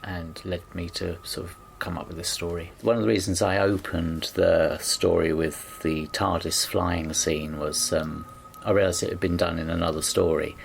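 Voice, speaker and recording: A man's voice: deep voice, fairly even intonation, moderate pace, gravelly, slight background noise. A man speaking as a writer in an informal behind-the-scenes interview. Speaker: scriptwriter.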